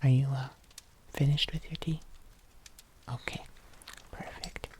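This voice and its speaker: Slow, whispering ASMR locksmith. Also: mid-range voice, flat delivery. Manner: gentle, unhurried, murmuring to the listener. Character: kindly, patient locksmith chatting with a customer. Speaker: ASMR performer. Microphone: close ASMR microphone.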